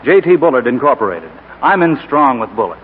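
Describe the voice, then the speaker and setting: A man's voice: medium pitch, sing-song, brisk, close to an everyday voice. An actor playing a breezy, cocksure advertising man in 1940s radio drama, glib and familiar, bossing his pal along. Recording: tinny, faintly noisy old radio recording.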